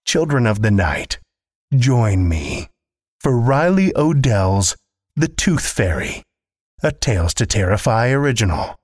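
A man's voice: mid-range voice, very animated, unhurried, dry recording, studio quality. A man hosting a horror fiction podcast, reading a scripted introduction with theatrical, macabre showmanship.

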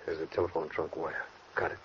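An actor playing a ruthless, bossy armed robber in a 1940s radio crime drama, talking in rough, threatening slang. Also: male voice, a bass voice, flat delivery, a little fast. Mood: low, businesslike. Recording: noisy muffled old radio recording.

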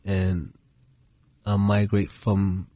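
A man from Haiti gives casual interview answers as a radio guest. His voice sits on the low side, and the studio sound is dry with little room around it. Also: flat delivery, very slow, dark tone, no echo. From Haiti, moved to the US at ten. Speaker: radio guest, food business owner.

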